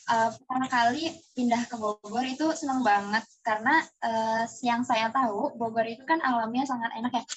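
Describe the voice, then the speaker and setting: A woman's voice: fairly high pitch, fairly even intonation, moderate pace, poor audio. A young woman from East Java answering interview questions in a chatty way, with many hesitations and fillers. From East Java, Indonesia.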